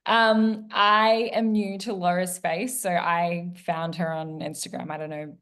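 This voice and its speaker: A woman joining a coaching group, introducing herself on a video call in an excitable, gushing way. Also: lowish voice, a little slow, clean tone. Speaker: new program member.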